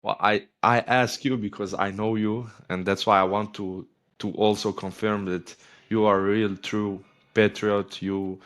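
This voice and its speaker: Male interview host, low-ish voice, hesitant and filler-heavy. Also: flat delivery, a bit bright. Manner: halting, searching for words, many fillers. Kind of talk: casual interview conversation.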